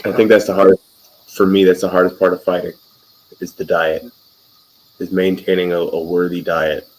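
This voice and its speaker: A man's voice: low voice, little pitch movement, normal speed, darkish tone, poor audio. A man hosting an interview, chatty and joking, telling loose profane stories in a casual conversation. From Wisconsin. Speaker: interviewer, podcast host.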